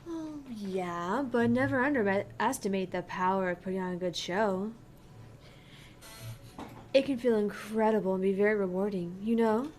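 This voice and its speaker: A woman's voice: medium pitch, normal speed, a little mellow, natural voice. A woman voicing a cheerful, airy young female pop idol in a video game, earnest and breezy. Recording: clear background noise.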